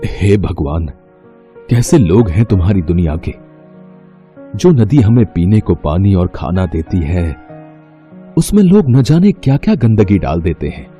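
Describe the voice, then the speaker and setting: Man acting a low, mellow, weary old fisherman. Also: very animated, measured pace, pauses often, soft background music. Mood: weary dismay. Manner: humble, resigned, plaintive. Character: poor, weary, pious old fisherman in a cartoon.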